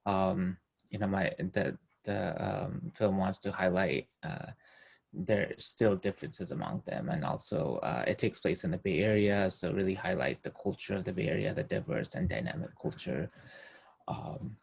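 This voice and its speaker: Husky low-voiced man, halting. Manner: hesitant, many fillers, soft trailing phrases. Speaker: screenwriter sharing project update. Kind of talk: casual meeting chat. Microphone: video call.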